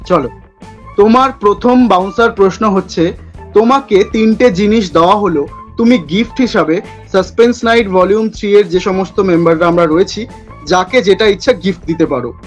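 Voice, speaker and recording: A man hosting a playful quick-fire question segment in a chatty interview style. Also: high voice, faint music behind.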